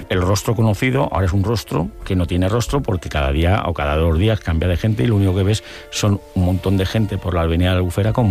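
A man's voice: fairly low pitch, darkish tone. A middle-aged man, secretary of a residents' association, earnestly describing changes in his area in an interview. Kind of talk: interview testimony.

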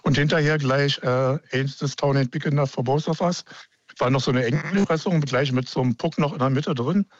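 A man's voice: mid-range voice, a little fast, no echo, lo-fi sound. A man, calling in and eagerly chatting about his youth. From Berlin. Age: 60s. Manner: enthusiastic, reminiscing freely. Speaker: caller. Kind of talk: phone-in chat.

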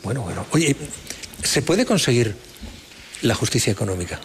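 Male interviewer, admiring and deliberate. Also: mid-range voice, big pitch swings, slight background noise. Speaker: interviewer and award event host. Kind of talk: conversational on-stage interview.

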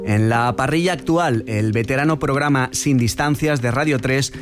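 A man giving scripted, measured narration for a radio documentary. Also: mid-range voice, faint music behind.

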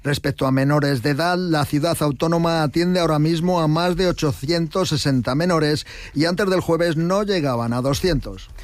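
A man delivering a recorded news report in a steady, informative tone. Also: on the high side, normal speed, no room sound.

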